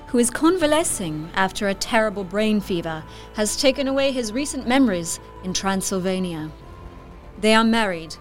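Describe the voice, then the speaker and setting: Woman narrator with medium pitch over music. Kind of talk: scripted audio drama recap narration.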